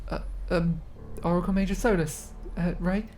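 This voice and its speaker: A woman's voice: lowish voice, steady tone, moderate pace, dark tone, soft background music, lightly acted. A woman playing an anxious young trainee scholar in a fantasy audio drama, stammering, hesitant and apologetic. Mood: nervous, apologetic.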